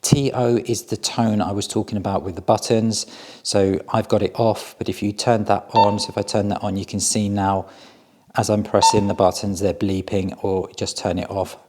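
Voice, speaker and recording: Low-voiced man giving a product walkthrough. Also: flat delivery, moderate pace, noisy background. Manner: plain-spoken, explanatory, step by step. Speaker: product reviewer. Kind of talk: casual hands-on product review and demonstration.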